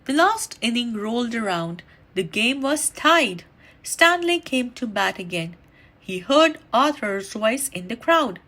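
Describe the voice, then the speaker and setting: A woman reading a children's story aloud in an animated, deliberate storytelling manner. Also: fairly high pitch. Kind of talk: expressive read-aloud storytime narration.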